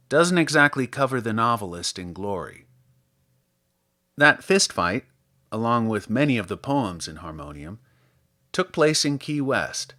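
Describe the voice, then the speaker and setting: A man reading scripted literary material aloud as a podcast host, in a measured, articulate, theatrical manner. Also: mid-range voice, bright, forceful tone. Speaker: podcast host and poetry reader. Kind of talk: scripted literary introduction and poetry recitation.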